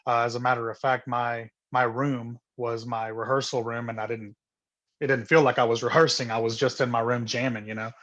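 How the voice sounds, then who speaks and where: A middle-aged man from Honduras, guesting on a video call. He tells his story in a relaxed, earnest way, with many "um"s and repeated words. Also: mid-range voice, fairly quick, muffled recording. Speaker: guest singer. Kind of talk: casual interview storytelling.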